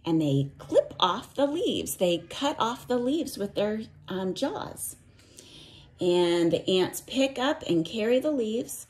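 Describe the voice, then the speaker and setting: A woman reading a picture book to young children and explaining it in simple words, with a sing-song, measured cadence. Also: medium pitch, a little mellow. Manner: simple, instructive phrasing aimed at young children. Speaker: read-aloud teacher for young children.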